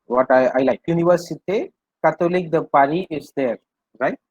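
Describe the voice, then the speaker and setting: A man's voice: on the high side, fairly quick, a little mellow, no room sound, muffled recording. Indian-accented man teaching, close dry sound. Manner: repeats phrases, checks understanding with short questions. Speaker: French language teacher. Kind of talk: interactive language lesson, translating line by line.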